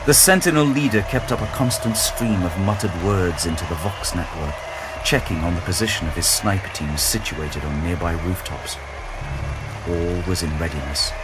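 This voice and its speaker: A man narrating a scripted audio drama in a measured, solemn, dramatic storytelling style. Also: low voice, music in the background, poor audio.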